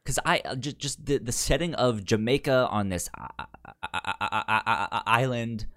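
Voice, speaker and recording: A man co-hosting a casual film discussion podcast, speaking with a pronounced stutter that repeats sounds and syllables in a halting delivery. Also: medium pitch, a bit bright. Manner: halting, hesitant delivery with frequent restarts. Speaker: podcast co-host.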